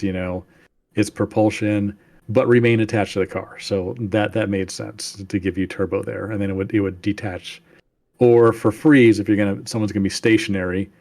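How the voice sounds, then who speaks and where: A man who is a game developer, a guest on a casual podcast, explaining in a measured way. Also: fairly low pitch, a little mellow.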